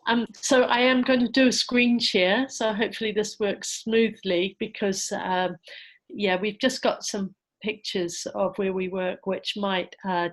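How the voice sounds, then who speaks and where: A woman's voice: mid-range voice, a bit bright, low-quality recording. A woman giving an informal presentation on an online call, speaking in a hesitant, reflective way. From New Zealand. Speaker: presenter from a community organisation.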